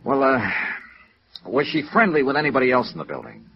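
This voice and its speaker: Lively hard-boiled American radio detective. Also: male voice, medium pitch, a little fast, husky. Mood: probing. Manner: clipped, matter-of-fact questioning. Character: brisk, hard-boiled male freelance insurance investigator. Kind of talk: 1950s radio noir acting and first-person narration. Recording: muffled vintage radio recording with music.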